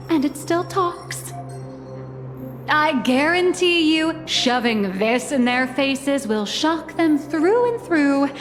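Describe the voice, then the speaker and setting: A woman's voice: sits high, big-room sound, exaggerated voice. A female actor playing a cool, sardonic, commanding schemer in a video game, with a dry, teasing manner. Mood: amused, sly.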